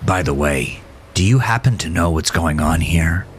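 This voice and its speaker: Narrator as male patient, hesitant, polite, stammering, low pitch, horror audiobook. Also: animated, normal speed, slight background noise. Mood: quietly grateful.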